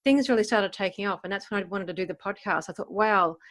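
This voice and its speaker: Interviewee: female, about 50, mid-pitched voice, informal and candid, thinking back over her own experience. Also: fast, fairly bright tone. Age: around 50. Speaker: life coach and podcaster being interviewed. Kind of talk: reflective interview answers.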